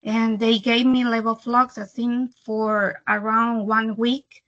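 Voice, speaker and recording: A woman in her thirties from El Salvador, speaking English with a Salvadoran Spanish accent, telling her own story in a slow, careful, slightly halting way over a video call. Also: fairly high pitch, flat delivery, a bit bright. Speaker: webinar speaker. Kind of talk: personal testimony presentation. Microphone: computer microphone on video call. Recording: muffled video-call audio.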